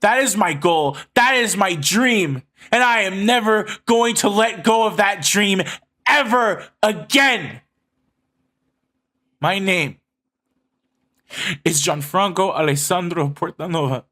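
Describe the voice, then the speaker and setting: A man's voice: sits high, slow, pauses often, pushed and bright. A man speaking earnestly and emphatically to his viewers in a personal monologue, swearing freely. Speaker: video creator addressing subscribers.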